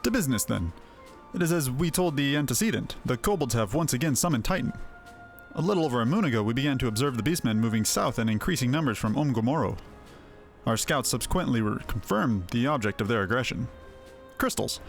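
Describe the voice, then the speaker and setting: A man's voice: medium pitch, animated, quick pace, natural voice. A man playing a dutiful military commander in a fantasy video game, with a stiff, formal way of addressing people. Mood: businesslike. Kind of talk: scripted fantasy game acting. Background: faint music.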